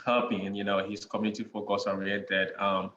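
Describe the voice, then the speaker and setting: A young man with a Ghanaian accent, a podcast guest chatting in a relaxed way, with many "you know" fillers and frequent chuckles. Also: on the low side, normal speed. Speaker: guest, real estate investor. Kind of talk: casual interview conversation. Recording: dull lo-fi sound in an echoey room with faint noise.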